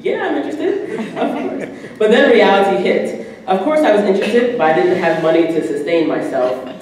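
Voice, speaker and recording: A woman in her twenties with an American accent gives a prepared personal speech as a guest, telling stories in an animated way. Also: low-pitched. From United States. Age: 20s. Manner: animated storytelling with acted-out quotes. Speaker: guest speaker. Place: indoor banquet room at a podium. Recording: echoey hall from far off, faint noise.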